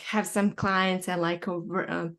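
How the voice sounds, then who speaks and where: A young woman from Bosnia hosting a podcast chat in English with a Bosnian accent, speaking haltingly with many fillers and restarts. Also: on the low side, flat delivery, fairly bright tone. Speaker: podcast host. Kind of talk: casual online chat.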